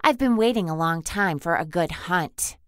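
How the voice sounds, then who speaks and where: Actress as predatory alien in girl's disguise, dry close sound, animated threats, audio drama. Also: lowish voice, normal speed, clear, resonant tone, no room sound. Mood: gleeful menace. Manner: mock-polite, threatening. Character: menacing alien beast disguised as a schoolgirl, sly predatory.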